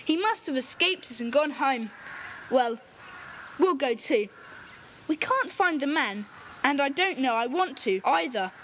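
Bossy schoolboy club leader, commanding and eager, lively high voice. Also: female voice, measured pace, pauses often, a little hiss or hum. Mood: puzzled. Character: boy leader of a children's secret club, brisk and bossy. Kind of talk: children's audio drama acting.

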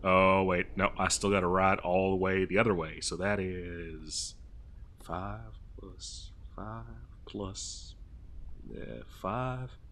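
A dim but good-natured cowboy, acted by a man, with a lowish voice and a folksy American Western drawl. He rambles and hesitates his way through each thought, as in an improvised comedy audio roleplay. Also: takes it slowly, fairly bright tone, heavily acted. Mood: flustered, puzzled. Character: dim, good-natured cowboy mail rider lost in badlands.

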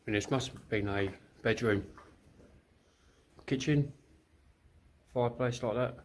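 A man casually narrating as he walks through a derelict countryside house, thinking aloud in a quiet, musing way. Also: mid-range voice, unhurried, slight background noise. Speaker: urban explorer vlogger. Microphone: handheld camera, filming while walking.

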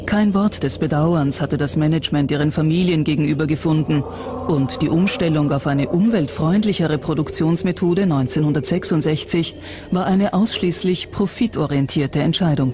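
A documentary narrator reading a script in a measured, serious manner. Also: mid-range voice. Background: music under the voice.

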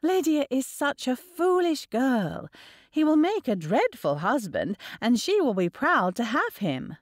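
Female narrator as spirited young woman, animated, well-mannered, earnest, close dry audiobook sound. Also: sits high, measured pace, no echo, pitched above the performer's own voice, full character voice. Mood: exasperated.

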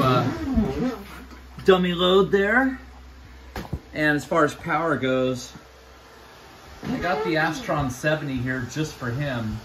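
Male hobbyist, mid-pitched, casual halting demo talk, noticeable air-conditioning noise in the room. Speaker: amateur radio hobbyist demonstrating equipment. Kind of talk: casual hands-on demo narration. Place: small indoor room with radio gear.

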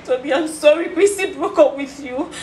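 A woman plays a friend who begs forgiveness and apologizes again and again. Her voice sits a little high. The room echoes, with faint noise in the background. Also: normal speed, a little mellow, close to an everyday voice. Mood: apologetic. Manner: apologetic, begging, repeats sorry. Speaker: friend confessing. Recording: roomy echo, faint noise.

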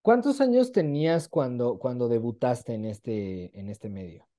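A man's voice: mid-range voice, lively intonation, a little slow, mellow. A man in his twenties with a Mexican accent, interviewing casually and enthusiastically over a video call. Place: small room at home, on a video call.

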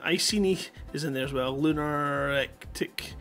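A man's voice: a little high, measured pace, fairly bright tone, faint music behind. A middle-aged man hosting a livestream, chatting casually and rambling in a jokey, self-deprecating way into a headset mic. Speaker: live stream host.

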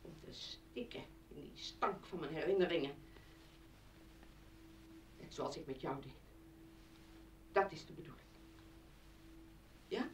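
A woman's voice: sits low, animated, unhurried, long pauses, a little hiss or hum. Anguished woman, distant roomy sound. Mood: bitter, accusing. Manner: emotional, accusing. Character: anguished, accusing woman defying a killer. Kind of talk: radio play acting.

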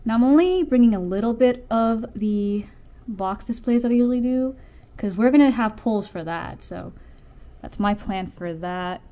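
A woman's voice: a little high, normal speed, warm tone. A young adult woman with a youthful voice, chatting informally and rambling through a casual vlog. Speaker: vlogger and convention artist.

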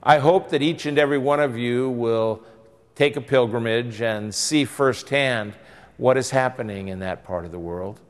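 Earnest bishop in a reverberant church. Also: male voice, medium pitch, moderate pace. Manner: earnest, exhorting, rising and falling emphasis. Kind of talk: pastoral address to camera. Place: church interior by stained glass windows.

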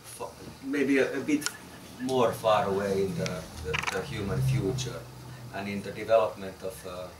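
Hesitant man, low flat voice. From Finland. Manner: halting, many fillers and repeated words. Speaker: architect presenting a research project. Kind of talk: informal talk to a small group. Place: small crowded room, indoors. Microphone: far camera. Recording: roomy, distant, noisy room.

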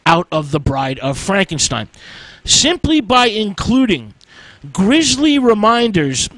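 A man hosting a radio show, giving an informal history lecture in a halting, drawn-out way with emphatic stresses. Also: high voice, fairly bright tone, dry recording, rough recording. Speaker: radio host.

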